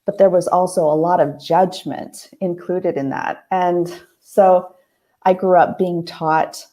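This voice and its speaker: Hoarse woman talking casually at home. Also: lowish voice, steady tone, slow, dark tone. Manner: thoughtful, with many ums and restarts. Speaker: host. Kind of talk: casual heart-to-heart monologue. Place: family house, at night.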